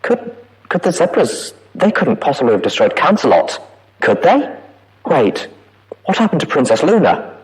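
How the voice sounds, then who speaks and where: Anguished pony inspector, acted by a man, swooping pitch. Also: big pitch swings, low-quality recording. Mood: shaken. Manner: wavering, pleading, halting. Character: anguished pony inspector recording an audio log, torn by guilt. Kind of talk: dramatic audio-log monologue.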